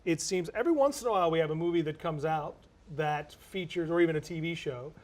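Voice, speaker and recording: A man hosting a panel discussion, putting long, deliberate questions to his guests. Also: fairly high pitch. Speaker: talk show host.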